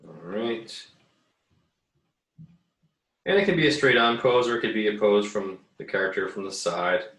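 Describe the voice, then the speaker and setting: A man with a very low but bright, clear-edged voice talks through a drawing demo like a teacher. He is easygoing and hesitant, thinking aloud as he goes. The sound is echoey, as if he sits back from the mic in a room. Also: expressive, normal speed. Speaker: art teacher giving an assignment demo. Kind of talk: casual screen-recorded drawing tutorial. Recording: roomy, echoey, distant mic.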